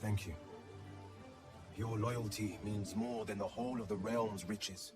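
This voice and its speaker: Low-voiced resolute adventurer acted by a man. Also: fairly even intonation, a little slow, over music, close to an everyday voice. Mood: grateful. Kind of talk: video game acting.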